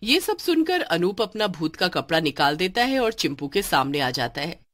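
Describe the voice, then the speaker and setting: A woman's voice: on the low side, big pitch swings, moderate pace, a bit bright. A woman reading a scripted story as its narrator.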